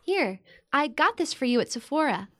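An actress playing a blunt, teasing, loyal young tomboyish sister with an American accent, in a naturalistic modern audio drama. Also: female voice, high voice, big pitch swings, moderate pace, background noise. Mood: reassuring, confiding. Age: young adult. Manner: casual, playful, frank.

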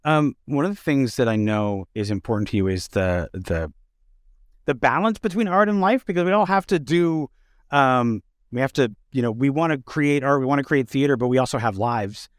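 A man hosting a casual interview, talking in halting, measured phrases. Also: medium pitch, lively intonation, no room sound, studio quality. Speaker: interview host.

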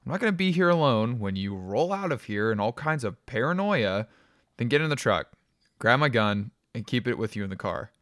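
A man voicing an angry, frightened young woman who talks in sharp, demanding bursts. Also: medium pitch, expressive, normal speed, dry recording, clean studio sound, natural voice. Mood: insistent. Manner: sharp, demanding, pushes back hard.

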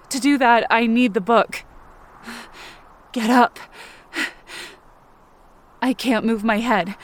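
A woman acting an anxious, self-blaming, injured character who narrates her ordeal in short, halting phrases for an audio drama. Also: fairly high pitch, lightly acted. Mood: strained, determined. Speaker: fiction podcast performer.

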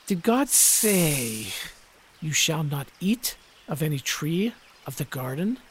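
A man playing a sly, tempting serpent in a Bible audio drama, with a breathy, insinuating, drawn-out delivery. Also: a little high, very animated, full character voice. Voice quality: husky, breathy.

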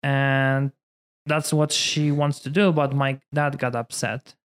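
A man in his thirties from Poland, a priest speaking English with a Polish accent in a relaxed conversation, full of "you know" fillers. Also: medium pitch, takes it slowly, smooth. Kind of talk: casual conversation.

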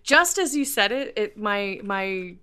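A woman's voice: mid-range voice, lively intonation, unhurried, a loud crowd. A woman co-hosting a podcast, reacting in casual chat with exclamations and swearing. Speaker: podcast co-host.

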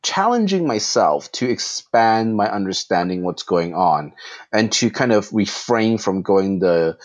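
A man who came from the Philippines as a child talks as a therapist in a casual, reflective way. His voice sits very low. He often restarts his sentences. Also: lively intonation, unhurried. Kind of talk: casual conversation.

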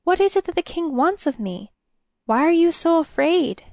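A woman's voice: extremely high pitch, big pitch swings, moderate pace, a little mellow, no room sound, clean studio sound, voice pushed up, heavily acted. Woman as a proud, vain young village beauty. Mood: puzzled. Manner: haughty, formal.

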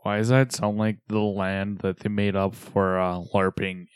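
A man co-hosting a podcast, teasing, his voice slightly raspy and cracking. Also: fairly low pitch, flat delivery, measured pace, no room sound, clean studio sound. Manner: teasing, wisecracking. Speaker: podcast co-host. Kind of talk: casual podcast banter.

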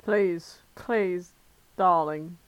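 A woman's voice: fairly low pitch, drawn-out words, clean tone, no echo. A woman acts out a refined cannibal doctor from a comic fan fiction. The delivery is formal and fussy, long-suffering yet lovestruck, with a very dry, close sound. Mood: pleading, exasperated.

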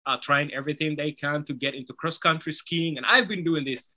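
A man's voice: a little high, fairly quick, bright, forceful tone. Earnest man. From Somalia. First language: Somali. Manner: earnest, persuasive, rhetorical "right?" tags. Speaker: author and interview guest. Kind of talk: conversational interview answer.

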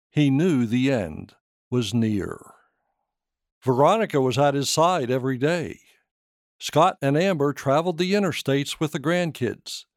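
A man reading his own short story as scripted narration, in a measured, expressive storytelling manner. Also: medium pitch, dry recording, studio quality.

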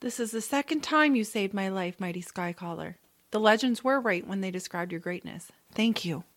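A woman's voice: mid-range voice, animated, moderate pace, lightly acted. Woman acting an earnest, noble fantasy heroine. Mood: earnest, grateful. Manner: formal, grave, courteous. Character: earnest, noble, wounded female fantasy traveller. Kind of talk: family audio drama acting.

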